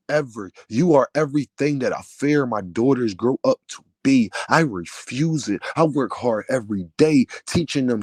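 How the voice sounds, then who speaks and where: Loud, heated man ranting with a deep voice. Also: dry recording. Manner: loud, heated, preaching cadence. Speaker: vlogger, channel owner. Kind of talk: passionate rant to camera.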